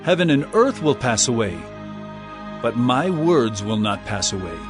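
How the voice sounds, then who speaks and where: An actor playing a solemn, authoritative holy teacher prophesying in an earnest, declamatory way in a dramatized audio Bible. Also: male voice, medium pitch, very animated, a little slow, music in the background. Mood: solemn proclamation.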